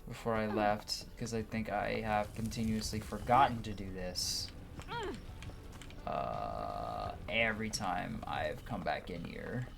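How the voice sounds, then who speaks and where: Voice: mid-range voice, slow pace, gravelly. A person giving casual, chatty gaming commentary, thinking aloud in an informal way. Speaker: host of a game playthrough. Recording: game music behind voice.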